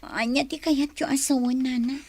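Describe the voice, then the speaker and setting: High-voiced, distressed young mother in radio drama. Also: steady tone, moderate pace, darkish tone. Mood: anxious, weary. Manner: emotional, pleading, sighing. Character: distressed young mother fleeing an abusive partner, tearful, pleading.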